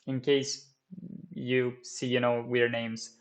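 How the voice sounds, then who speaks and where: A man's voice: mid-range voice, flat delivery, slow pace. A man whose first language is Romanian, walking viewers through a 3D modelling tutorial at his desk, with many fillers and restarts. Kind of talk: screen-recorded software tutorial.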